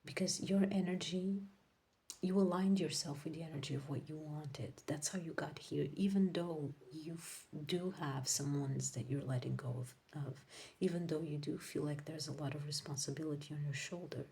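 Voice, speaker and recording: A woman whose first language is Portuguese, giving a casual tarot reading to viewers, rambling and correcting herself, often dropping into soft whispers. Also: deep voice, normal speed, creaky. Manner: drifts into soft whispers while laying cards. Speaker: tarot reader.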